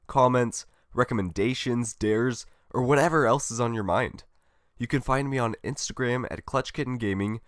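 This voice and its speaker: A man's voice: mid-range voice, no room sound, clean studio sound. Upbeat man hosting a gaming podcast. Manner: upbeat, friendly, reads from a script. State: recovering from a cold. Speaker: podcast host. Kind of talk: solo gaming podcast monologue.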